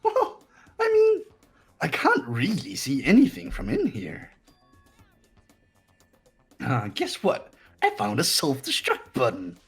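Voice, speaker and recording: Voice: medium pitch, wildly swooping pitch, slow pace, pauses often, slightly rough, soft background music, full character voice. A performer voicing a gleeful, mocking robotic bear mascot villain in a video game fan dub, with a sing-song, taunting manner. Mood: playful, teasing.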